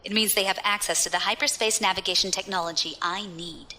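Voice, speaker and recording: A woman's voice: medium pitch, fairly even intonation, fairly quick, pushed and bright. An actress playing a cool, scheming female space pirate leader in an audio drama, wry, teasing and self-assured. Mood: determined, confident.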